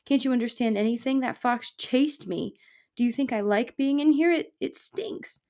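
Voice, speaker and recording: Woman as a timid, high-pitched little girl mouse. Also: moderate pace, darkish tone, close to an everyday voice. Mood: exasperated, upset. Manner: stammering, pleading. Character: small timid young female mouse, anxious, pleading.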